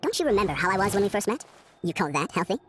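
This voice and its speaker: A man's voice: high voice, big pitch swings, very fast, slight background noise. An actor playing a deluded, falsely serene man in a game, speaking in a formal, condescending, theatrical way. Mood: amused, dismissive. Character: deluded male patient, falsely serene, loftily mocking. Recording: voice under loud game music.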